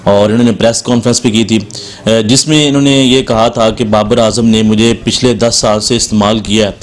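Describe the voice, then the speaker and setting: A man with a Pakistani accent speaks as a host, introducing and interviewing in a news style. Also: mid-range voice.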